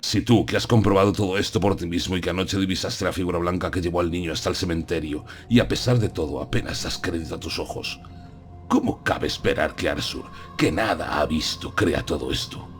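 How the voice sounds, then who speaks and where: An actor playing a solemn, learned old professor who hunts vampires, explaining things deliberately in a gothic audiobook drama. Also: male voice, low voice, faint music behind, close to an everyday voice. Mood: earnest, deliberating. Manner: solemn, didactic, deliberate phrasing.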